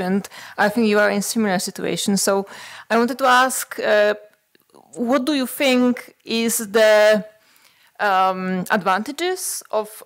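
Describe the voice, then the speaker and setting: A woman from Poland, an audience member asking a question at a seminar, carefully searching for her words. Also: medium pitch. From Upper Silesia, Poland.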